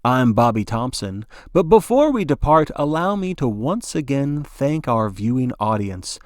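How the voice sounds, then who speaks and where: Animated man doing play-by-play commentary. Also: mid-range voice, unhurried, no echo, clean studio sound. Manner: theatrical, folksy announcer delivery. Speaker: narrator playing a sports broadcaster. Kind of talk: dramatic play-by-play sports commentary.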